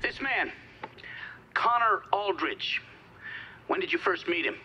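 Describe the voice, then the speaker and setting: An actor playing a formal official in a video game, putting pointed, insistent questions to a witness. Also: male voice, sits high, lively intonation, takes it slowly. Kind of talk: hearing interrogation, game cutscene acting. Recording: dull lo-fi sound, faint noise.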